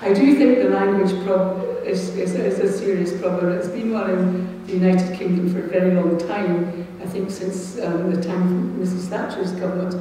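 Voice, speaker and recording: Rambling former teacher in echoey hall. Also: female voice, fairly low pitch, steady tone, normal speed, few pauses, dark tone, far from the mic, slight background noise. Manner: rambling, anecdotal, with many ums. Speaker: former teacher, seminar participant. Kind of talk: discussion comment. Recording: echoey hall, recorded from afar.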